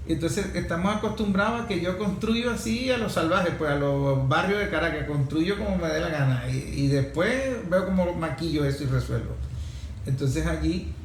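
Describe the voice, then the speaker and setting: A man's voice: fairly high pitch, moderate pace. Venezuelan man interviewing, echoey room. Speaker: interviewer, host. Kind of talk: conversational interview. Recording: echoey room, faint background noise.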